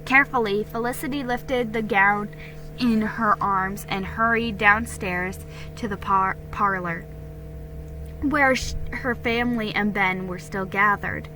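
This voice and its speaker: Bright-voiced woman reading a story slowly. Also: mid-range voice, soft background music, rough recording. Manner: stumbles and repeats words. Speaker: amateur story reader. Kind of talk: dramatic storybook narration.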